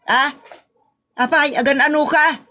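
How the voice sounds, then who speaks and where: A woman's voice: sits high, steady tone, unhurried, sharp tone. An actress with a northern Philippine accent playing an impatient, nagging woman in a comedy audio drama. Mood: questioning.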